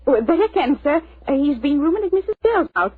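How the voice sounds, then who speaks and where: A woman's voice: high-pitched, big pitch swings, quick pace, dry recording. A woman playing a chatty, deferential English village postmistress who rambles gossipily, in a 1940s radio drama. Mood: chatty, helpful. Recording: tinny narrow-band old radio recording.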